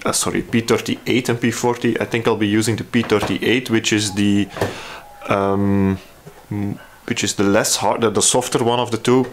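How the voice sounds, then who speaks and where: Low-pitched man talking through a repair. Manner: hesitant, thinking aloud. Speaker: hobby radio restorer presenting a repair. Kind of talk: casual hands-on repair walkthrough.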